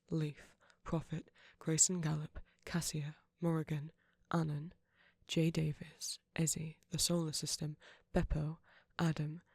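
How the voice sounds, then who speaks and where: Creator with a husky, creaky voice. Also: mid-range voice, darkish tone, dry recording, clean studio sound. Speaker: audio creator, host. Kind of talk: scripted channel outro, thanking patrons.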